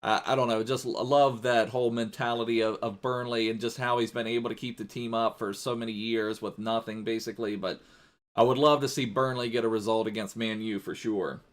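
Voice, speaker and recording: A man's voice: lowish voice, fairly even intonation, a little fast. A man streaming a football video game live, chatting casually in a rambling way and thanking viewers as he plays.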